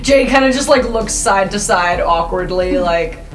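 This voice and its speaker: Lower-voiced woman playing a tabletop game. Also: animated, a little slow, music in the background. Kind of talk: casual improvised role-play.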